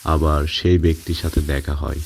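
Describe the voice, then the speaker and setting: A man's voice: rumbling low, flat delivery, moderate pace, gravelly. A man narrating a scripted tale as a storyteller. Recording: music behind the voice.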